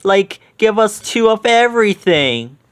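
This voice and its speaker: A man voicing a goofy, jittery, always-hungry young man, talking high and excitably. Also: unhurried, smooth. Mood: excitable.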